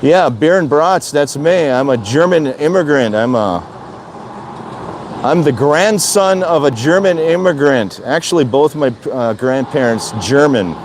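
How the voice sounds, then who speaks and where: A man's voice: on the high side, lively intonation, unhurried, slight background noise. Chatty older man streaming outdoors. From Wisconsin, USA. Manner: chatty, rambling, repeats himself. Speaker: live streamer. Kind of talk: casual live-stream chat answering viewer comments. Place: outdoor city balcony.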